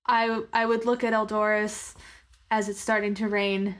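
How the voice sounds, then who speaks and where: A woman's voice: mid-range voice, little pitch movement, moderate pace. A woman chatting casually as a player in an improvised tabletop game, with informal, tentative phrasing. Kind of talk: casual improvised role-play chat.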